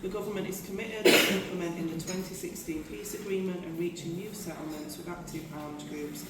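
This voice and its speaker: British woman chairing a meeting in an echoey hall. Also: lowish voice. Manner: reads scripted text with frequent restarts and asides to the audience. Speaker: meeting chair, union general secretary. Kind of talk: chairing a conference fringe meeting, reading prepared remarks. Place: conference meeting room. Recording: echoey hall, voice from far off, noisy.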